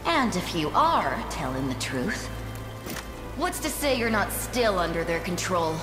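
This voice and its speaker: An actress playing a tough, distrustful captain of fighters in a video game, blunt and sardonic. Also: female voice, lowish voice, sing-song, a little slow. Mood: scornful, suspicious. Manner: blunt, sardonic, hard-bitten. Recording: roomy echo, music behind.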